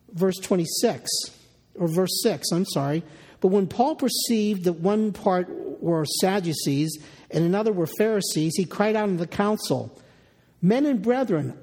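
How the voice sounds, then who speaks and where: A man delivers a verse-by-verse sermon, reading a passage and then restating it in the first person. His voice sits high for a man and has a husky, gravelly edge. It rings with the long reverb of a large hall. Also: a little mellow. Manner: reads scripture aloud then paraphrases it in first person. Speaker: preacher. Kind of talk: expository Bible sermon.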